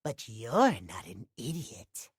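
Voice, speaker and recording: A small but menacing video game villain, in a high, androgynous voice that swings widely in pitch. He is boastful, possessive and resentful, taunting at a slow, deliberate pace. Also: huge pitch swings, breathy edge, dry recording, clean studio sound, full character voice. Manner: speaks of himself in third person, menacing, taunting. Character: sinister, possessive hidden clock alter ego, boastful and resentful. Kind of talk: video game character acting.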